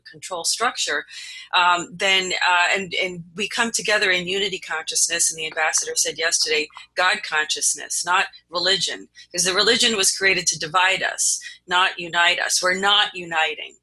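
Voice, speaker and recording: A woman's voice: on the low side, bright, forceful tone, slightly rough, rough recording. A woman hosting an unscripted panel discussion, speaking emphatically and repeating her phrases for weight. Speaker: panel host.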